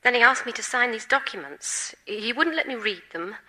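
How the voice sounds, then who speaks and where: An actress playing a desperate, lovelorn personal assistant who confesses haltingly and earnestly in a radio comedy drama. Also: female voice, a little high, animated, pushed and bright. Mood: wistful confessing.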